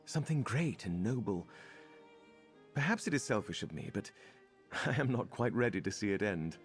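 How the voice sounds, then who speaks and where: An actor playing a polite, earnest, curious companion in a fantasy game, formal and slightly hesitant. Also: male voice, lowish voice, lively intonation, normal speed, husky, faint music behind. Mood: earnest, wistful. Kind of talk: fantasy video game dialogue.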